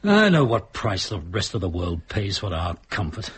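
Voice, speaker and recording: Very husky, troubled Englishman. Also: low voice, wildly swooping pitch, normal speed, exaggerated voice. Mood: troubled, guilty. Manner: earnest, intense. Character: earnest, guilt-ridden successful English man, defensive and troubled. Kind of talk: British radio drama acting.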